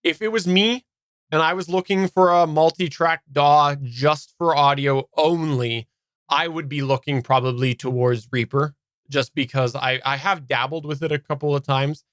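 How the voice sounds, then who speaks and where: A man's voice: a little high, normal speed, a bit bright, dry recording, clean studio sound. A man co-hosting a podcast, chatting casually and relaying listener comments in a live discussion. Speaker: podcast co-host.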